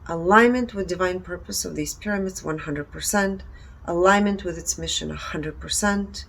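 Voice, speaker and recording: A woman's voice: lowish voice, moderate pace, gravelly, fairly bright tone. A woman presenting findings and personal commentary in short, emphatic, earnest phrases. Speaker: presenter reporting energy readings.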